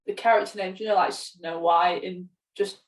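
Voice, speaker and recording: A woman's voice: on the low side, steady tone, moderate pace. A woman co-hosting a podcast, chatting casually about games, recorded at some distance from the microphone. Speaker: podcast co-host. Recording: distant from the mic.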